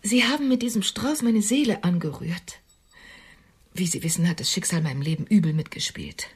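A woman's voice: lowish voice, normal speed, slightly rough. An actress playing an older, sober working woman in a radio drama, speaking in a dry, formal, reserved way with tenderness underneath. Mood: moved, formal.

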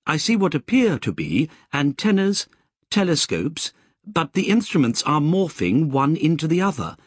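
A man doing a crisp, eager AI voice. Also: a little high, very animated, normal speed, dry recording, studio quality, voice pushed up. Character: precise, curious male AI voice.

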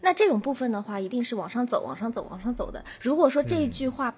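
A woman's voice: a little high, expressive, fast talker, darkish tone, slight background noise. A woman from an audio drama team in a casual group chat, insistently repeating short phrases.

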